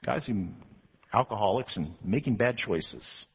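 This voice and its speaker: Husky, animated man preaching a sermon. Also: on the low side, measured pace. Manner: animated, rising to emphatic preaching. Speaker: pastor. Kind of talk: expository sermon. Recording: dull lo-fi sound.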